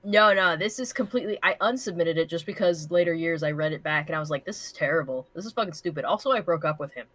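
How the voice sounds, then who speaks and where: A woman co-hosting a livestream with casual banter and commentary, animated, blunt and sweary. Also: sits low, quick pace, few pauses, no echo. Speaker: co-host of a livestream.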